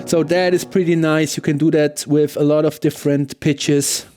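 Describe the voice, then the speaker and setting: A man's voice: on the high side, flat delivery, moderate pace, loud music behind the voice. A man giving a casual, conversational product review as a gear demonstrator, enthusiastic and often checking in with the listener.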